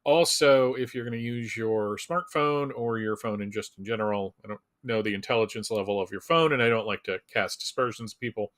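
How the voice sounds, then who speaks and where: A man's voice: lowish voice, brisk, few pauses, a bit bright. A man co-hosting a live fan show in a casual, joking way, with wry, self-deprecating humor.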